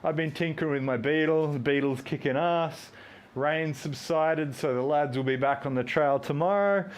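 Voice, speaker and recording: A man's voice: a little high, normal speed. A man, hosting a fitness live stream, rambling and joking while he does squats and counts reps aloud. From Australia. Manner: talks while doing squats.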